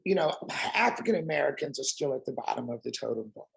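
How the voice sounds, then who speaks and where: A woman with a raspy, gravelly voice and a husky edge, talking candidly as an interview guest. She speaks emphatically and drives her points home with pointed rhetorical questions. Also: extremely low pitch, lively intonation, quick pace, low-quality recording.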